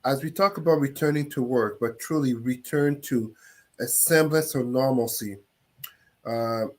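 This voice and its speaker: A man on a discussion panel builds a measured, rhetorical argument. His voice has an ordinary middle pitch for a man. He speaks over a video call. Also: darkish tone. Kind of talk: opinionated panel commentary.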